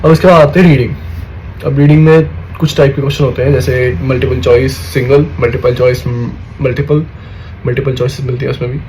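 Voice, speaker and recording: A man's voice: medium pitch, steady tone, a little fast, darkish tone, a little hiss or hum, low-quality recording. A young man in casual talk to his viewers, giving exam tips in a chatty way, often calling them brother and checking they follow. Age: young adult. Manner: chatty, addresses viewers as "bhai", repeats "theek hai". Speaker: student vlogger.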